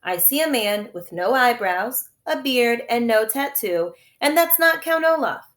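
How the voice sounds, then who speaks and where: A woman's voice: on the high side, expressive, unhurried, a bit bright. Stuffy male guardian, voiced by a woman reader: dismissive, condescending, echoey room. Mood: weary, stern. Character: dismissive, weary grown man, stuffy family guardian.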